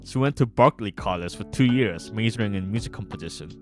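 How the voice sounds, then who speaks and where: A man with a low voice narrates a visual novel on a stream. The reading slips into casual asides, with soft guitar music behind. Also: lively intonation, a little fast. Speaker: streamer and visual novel developer reading own game aloud.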